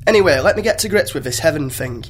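Guy doing cheeky blustery starship captain, comedy radio play, slightly high pitched. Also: expressive, fast talker, a little hiss or hum, lightly acted. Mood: distracted, eager. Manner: blustering, informal.

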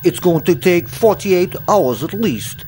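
A man performs a put-upon ship's engineer for a comedy space radio play. The voice is fairly high and swoops about animatedly, fretful and earnest, with music behind. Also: expressive, measured pace, a little mellow.

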